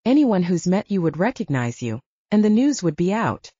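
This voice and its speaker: A woman's voice: on the low side, sing-song, moderate pace, dark tone, breathy edge, dry recording, highs cut off. A woman voicing a plucky, argumentative young woman with a wry, persistent manner in an audiobook romance. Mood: conciliatory, wry.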